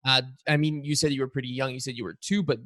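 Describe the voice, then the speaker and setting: A man's voice: medium pitch, fairly even intonation, fast, a bit bright, no room sound, studio quality. A young man, born in Bulgaria, hosting a podcast interview, upbeat and chatty with lots of "like" and "uh". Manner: upbeat, chatty, many fillers like "uh" and "like". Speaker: podcast host. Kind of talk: casual interview chat with ad read.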